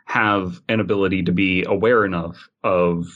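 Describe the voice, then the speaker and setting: A man's voice: sits low, fairly even intonation, normal speed, dull audio. A man hosting a casual panel podcast, inquisitive, summing up and putting questions to the others. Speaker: podcast host.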